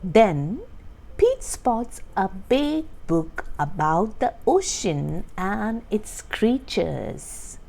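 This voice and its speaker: A woman reading a children's storybook aloud as a storytime host, with expressive, deliberate phrasing for young listeners. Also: mid-range voice, vocal fry. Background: background music.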